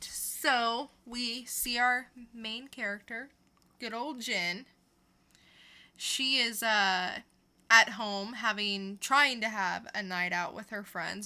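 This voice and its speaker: A woman's voice: medium pitch, bright, forceful tone. A woman co-hosting a podcast, chatting casually through a comic book review in an informal storytelling way. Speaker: podcast co-host.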